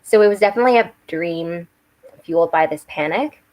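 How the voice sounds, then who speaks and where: Slightly hoarse young woman chatting. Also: lowish voice, a little fast, a little mellow. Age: young adult. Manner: rambling, chatty, with restarts and self-corrections. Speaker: book and makeup channel host. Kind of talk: casual live-stream chat while doing makeup. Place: home room with bookshelves. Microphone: webcam.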